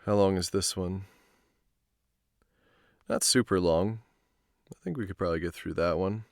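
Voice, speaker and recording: A man's voice: low-pitched, steady tone, normal speed, dry recording, studio quality. A man reading stories aloud on a live stream, with an informal manner. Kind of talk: live storytelling and casual stream chat.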